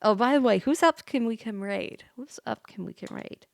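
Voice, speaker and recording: A woman's voice: a little high, moderate pace, vocal fry, no room sound, clean studio sound. A tipsy, sleepy woman hosting a livestream, chatting casually in a rambling way. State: tipsy from wine. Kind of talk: casual chat between game read-alouds. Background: faint background music.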